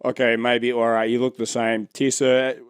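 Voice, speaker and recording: A man's voice: medium pitch, level tone, normal speed, clean tone, no echo, studio quality. A man co-hosting a podcast in an easygoing way, steering the discussion along. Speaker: podcast co-host. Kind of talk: casual chat.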